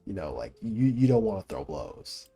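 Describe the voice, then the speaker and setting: A man's voice: fairly low pitch, sing-song, normal speed, dark tone, husky, faint music behind. A young man giving casual commentary as he plays a video game, slangy, profane and teasing. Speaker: streamer reacting to a video game.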